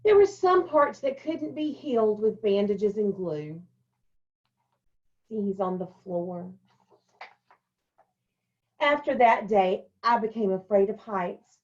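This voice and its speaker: A woman reading a children's storybook aloud and talking to young pupils in simple, deliberate phrases. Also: medium pitch, lively intonation, dull audio. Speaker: elementary school staff member reading a picture book to children.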